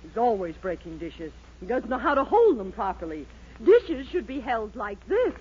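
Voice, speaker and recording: A fussy, bossy wife who takes offence easily. Her delivery is lively and swooping, in vintage radio sitcom style. The recording is muffled with clear noise. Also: on the high side, moderate pace. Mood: indignant. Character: bossy, fussy, indignant middle-aged wife. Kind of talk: 1940s radio sitcom acting. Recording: dull, noisy 1940s radio recording.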